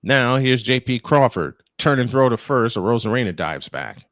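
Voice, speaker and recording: A man's voice: medium pitch, big pitch swings, moderate pace, a bit bright, no echo, clean studio sound. A man calling a baseball game live as play-by-play host, slipping between commentary and casual chat.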